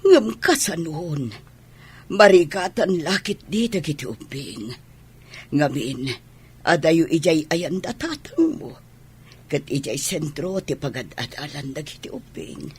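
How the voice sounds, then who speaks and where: Babaeng gumaganap na paos at matandang biyenan. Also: female voice, deep voice, big pitch swings, unhurried, breathy edge, heavily acted. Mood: worried. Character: pleading elderly mother-in-law, a doting grandmother. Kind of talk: Ilocano audio drama acting.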